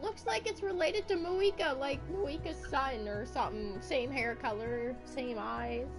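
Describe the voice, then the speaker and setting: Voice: high-pitched, unhurried, vocal fry. A streamer in their thirties from Canada, giving casual live commentary and reactions while playing a game. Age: 30s. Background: game music.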